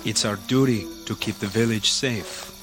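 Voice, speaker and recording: An actor playing a dutiful, wary village police chief in Mexico, in a grave, measured radio drama style. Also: male voice, fairly low pitch, music in the background, natural voice. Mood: grave, solemn.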